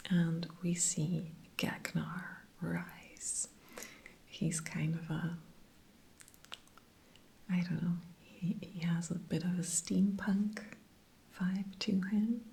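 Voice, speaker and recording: A woman whispering softly throughout, recapping TV episodes in a chatty ASMR style. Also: lowish voice, gravelly, slight background noise. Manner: soft unvoiced whisper throughout, chatty with fillers. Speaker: TV episode reviewer.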